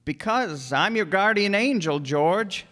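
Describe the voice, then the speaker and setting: A man playing a gentle, guileless elderly guardian angel in a radio drama, courtly and fussy in an old-fashioned way. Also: high-pitched, expressive, slow, heavily acted. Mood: earnest, gentle. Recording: echoey stage hall, long reverb.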